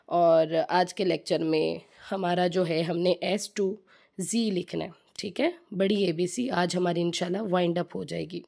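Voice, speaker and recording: A woman with a slightly hoarse throat, giving a chatty home tutorial for mothers that mixes in English words. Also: lowish voice, darkish tone. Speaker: home teaching channel host. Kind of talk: casual home tutorial talk.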